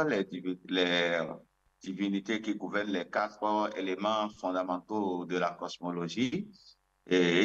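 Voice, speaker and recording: Over a dull, lo-fi connection, a man with a Beninese accent speaks in a fairly low voice. He is a traditional spiritual leader and interview guest, addressing listeners in a ceremonial, invocatory style. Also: fairly bright tone.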